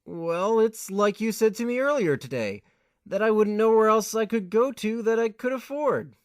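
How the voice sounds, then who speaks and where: A man's voice: high voice, expressive, normal speed, clean tone, no room sound, studio quality. A man playing an earnest, put-upon young tenant in an amateur audio drama, with a hesitant manner. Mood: sheepish. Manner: hesitant, stammering when flustered.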